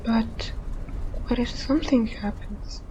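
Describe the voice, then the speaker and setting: Woman doing a whispery, very slow shy ghost. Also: on the high side, dark tone, slight background noise, low-quality recording. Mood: timid, worried. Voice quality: breathy, whispery. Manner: hesitant, apologetic. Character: shy, fearful, soft-spoken little ghost. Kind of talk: audio drama acting.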